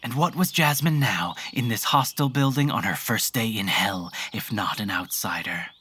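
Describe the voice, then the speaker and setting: Horror story narrator, mid-range voice, measured literary delivery, faint noise behind. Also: mid-range voice, sharp tone. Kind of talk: scripted horror fiction narration.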